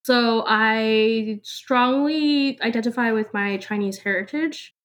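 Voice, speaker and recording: Female knitwear designer from the US, Cantonese native, slow, brief answers. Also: fairly high pitch. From United States. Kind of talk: casual interview chat.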